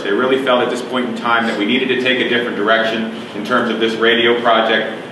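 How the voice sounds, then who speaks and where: Steady man at an echoey presser. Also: mid-range voice, far from the mic, noisy background. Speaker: county commissioner. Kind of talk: press conference statement. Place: press conference room. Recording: echoey room, mic from afar.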